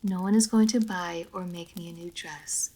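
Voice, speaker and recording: A woman's voice: fairly low pitch, fairly even intonation, moderate pace, dark tone, lightly acted. A woman playing a centuries-old female vampire in a roleplay audio drama, prim, proud and guarded yet playful, with formal, old-fashioned phrasing. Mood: composed, instructing.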